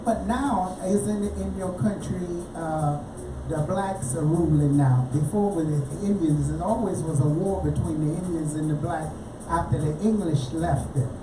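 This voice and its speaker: A woman's voice: deep voice, normal speed, background noise. Chatty woman hosting community radio, roomy. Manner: chatty, loud announcing, nonstandard grammar. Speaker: radio host. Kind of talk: casual radio interview. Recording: echoey room, mic far off, music behind.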